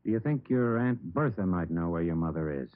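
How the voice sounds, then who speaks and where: Steady police captain, deep voice, American, authoritative, vintage radio crime drama, muffled dry sound. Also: male voice, dry recording. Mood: probing, calm. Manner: measured, authoritative. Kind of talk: 1950s radio drama acting. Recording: dry, narrow-band vintage radio recording.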